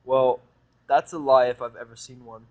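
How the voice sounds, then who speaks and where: A man's voice: medium pitch, steady tone, moderate pace, muffled recording, close to an everyday voice. Man playing an irritable sarcastic human guy. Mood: irritated. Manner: sarcastic, blunt, scornful.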